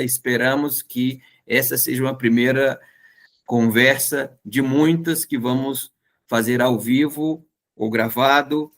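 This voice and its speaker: A man hosting a political livestream, who opens the show and introduces the topic with frequent "eh" fillers and restarts. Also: mid-range voice. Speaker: host.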